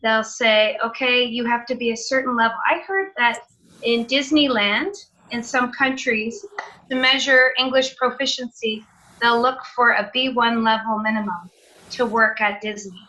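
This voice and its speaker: Canadian woman, teacher trainer on a video call; bright, fairly high, friendly explainer. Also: steady tone, normal speed, rough recording. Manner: friendly, explanatory, with filler sounds. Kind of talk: online seminar presentation.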